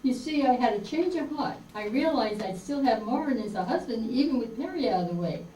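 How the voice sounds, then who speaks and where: A woman's voice: fairly high pitch, animated, a little fast, mellow, close to an everyday voice. A woman playing a scheming, gold-digging wife in an amateur radio play, coaxing with false sweetness. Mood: scheming, coy. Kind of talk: amateur old-time radio play acting. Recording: echoey, distant, noisy room recording.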